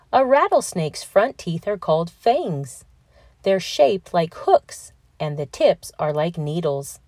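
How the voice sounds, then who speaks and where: A woman reading a children's picture book aloud in a deliberate, expressive storytelling manner for young listeners. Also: on the low side, no echo, clean studio sound. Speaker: children's read-aloud narrator.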